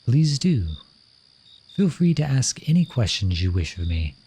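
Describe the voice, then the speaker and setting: A man's voice: medium pitch, sing-song, unhurried, warm tone, a little hiss or hum. A man playing a lovesick, courtly royal in a romantic ASMR roleplay, speaking to the listener in formal, flowery phrases. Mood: courtly, inviting.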